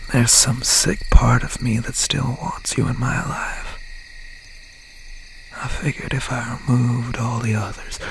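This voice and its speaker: Man voicing an obsessed killer boyfriend, very slow, breathy menace, ASMR roleplay, clear night insects and owls behind. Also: medium pitch, noisy background. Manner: slow, breathy, half-whispered menace with pauses. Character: obsessed, bitter young slasher boyfriend, menacing serial killer. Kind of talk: ASMR roleplay, dramatic monologue. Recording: steady nighttime ambience over the voice. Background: crickets, insects and owl night sounds.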